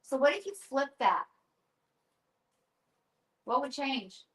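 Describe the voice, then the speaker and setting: A woman with a high voice leads a workshop group, cheering people on with quick affirmations and prompting them to flip their thinking. Her voice echoes around a large room. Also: sing-song, a bit bright. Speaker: workshop coach. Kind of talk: coaching a live group.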